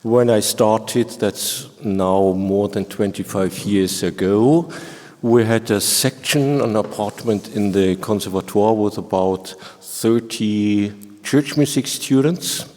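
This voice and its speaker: A long hall reverb surrounds a mature man with a German accent. His voice is fairly low, and he explains things informally as a professor on a panel. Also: takes it slowly, darkish tone.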